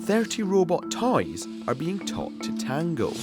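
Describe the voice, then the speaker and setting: A woman's voice: fairly low pitch, wildly swooping pitch, a little slow. A woman narrating a scripted technology report. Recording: voice-over under loud music. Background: loud music.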